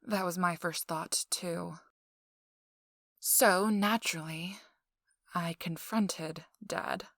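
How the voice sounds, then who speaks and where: Feisty young socialite played by a woman for ASMR audio drama: lowish, creaky, sly, self-assured, close and intimate. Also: fairly bright tone, no room sound, heavily acted. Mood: wry, confiding. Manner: slow, teasing, dry sarcasm, drifting into whispers.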